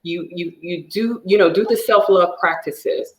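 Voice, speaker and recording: A woman's voice: on the low side, unhurried. Earnest woman, echoey room. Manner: earnest, emotional storytelling. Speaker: guest, divorce coach. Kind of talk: personal testimony in a talk show.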